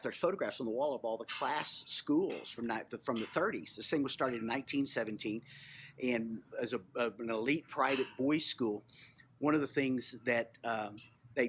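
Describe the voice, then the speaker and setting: A middle-aged man from Texas tells a story in an informal, reflective way. He pauses and repeats phrases as he goes. There is clear ambient noise behind him, as on a location recording. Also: medium pitch, fairly bright tone. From Texas, United States. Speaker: museum storyteller and co-host. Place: on location at a historic lodge.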